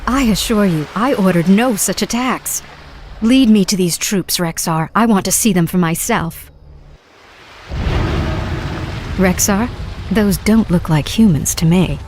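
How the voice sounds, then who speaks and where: A woman's voice: mid-range voice, normal speed, slight background noise, close to an everyday voice. An actress playing an earnest, determined young female sorceress in a game cutscene, speaking urgently. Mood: firm. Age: young adult. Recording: game cutscene with soft score.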